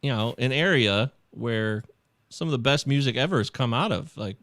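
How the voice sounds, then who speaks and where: A man hosting a podcast, excitable and animated, laughing easily as he asks questions. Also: medium pitch, moderate pace, no echo, studio quality. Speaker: podcast host. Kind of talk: casual chat.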